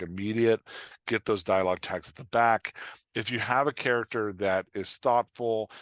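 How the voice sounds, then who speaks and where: A man's voice: lowish voice, fairly bright tone, no echo. A man chatting casually as a podcast guest, coaching on a craft, explaining with improvised examples and frequent "like" and "right?" check-ins.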